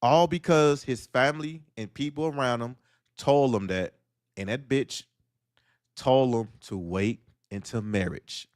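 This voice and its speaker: A man's voice: mid-range voice, unhurried, darkish tone, dry recording, studio quality. Blunt preachy man ranting solo. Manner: preachy, blunt, hammering rhetorical questions. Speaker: livestream host. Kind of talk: solo livestream monologue.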